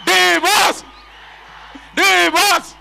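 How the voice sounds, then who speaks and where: Male rally speaker, North Karnataka accent. Piercing, high-set voice with grit, working up the fans through a stage mic. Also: little pitch movement, very slow, creaky, sharp tone, raised voice. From Karnataka, India. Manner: rallying, chant-leading, addresses crowd directly. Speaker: guest speaker at a film promotion event. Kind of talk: rousing public stage speech to fans. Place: stage at a public event.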